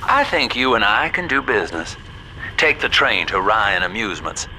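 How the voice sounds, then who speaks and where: An actor playing a smooth-talking, roguish businessman with a Southern US accent, folksy and wry, over a radio in a video game. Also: on the low side, big pitch swings, moderate pace, noisy background. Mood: sly, genial.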